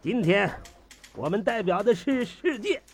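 A man's voice: high voice, big pitch swings, measured pace, heavily acted. A man playing a self-important observatory director in an audio drama, speechifying in a pompous, declamatory way. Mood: pompous rallying.

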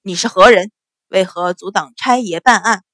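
A woman's voice: fairly low pitch, normal speed, a bit bright, no echo, voice pushed down. A woman voicing a burly male military officer in an audiobook, firm, formal and authoritative. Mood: commanding.

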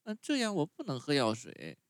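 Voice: mid-range voice, huge pitch swings, normal speed, no room sound, pitched above the performer's own voice. A storyteller voicing a stubborn, whining wooden puppet boy who is sick in bed. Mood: whiny. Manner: whining, pleading, wailing.

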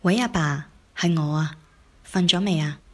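A woman's voice: fairly low pitch, normal speed, loud music behind the voice, close to an everyday voice. A woman with a Cantonese accent playing a reluctant, weary adult daughter in a radio drama. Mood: hesitant, weary. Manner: pleading, fed up.

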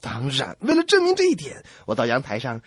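A man's voice: mid-range voice, wildly swooping pitch, fairly quick, slightly rough, no room sound, studio quality. A man co-hosting a comedy radio show, telling scripted jokes in a teasing, mock-serious way. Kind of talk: scripted joke telling and banter.

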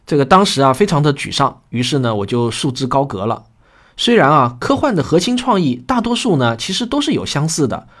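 A man speaking as author and presenter, introducing a radio drama to listeners in a conversational way, pausing on trailing particles. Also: medium pitch.

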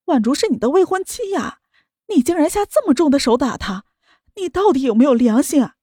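An outraged mother defends her daughter in a raised, high, insistent voice, performed by a female storyteller. Her scolding rings out loud against a dry, close recording. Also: big pitch swings, normal speed, no room sound. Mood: indignant scolding. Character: indignant middle-aged mother, protective and shrill.

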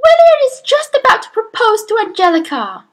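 A woman voicing a cross, shrill middle-aged mother who hates being disturbed. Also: huge pitch swings, a little fast, a bit bright, heavily acted. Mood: shrill, ratty.

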